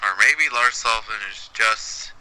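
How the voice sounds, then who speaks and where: A man giving excitable, blunt commentary on a wrestling game, swearing freely. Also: lowish voice, unhurried, low-quality recording. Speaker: gaming commentator. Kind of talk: casual let's-play commentary.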